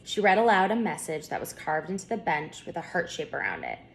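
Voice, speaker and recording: A woman author narrating a children's storybook in an expressive read-aloud manner. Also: on the low side, brisk, creaky, a little hiss or hum. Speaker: author reading her book aloud.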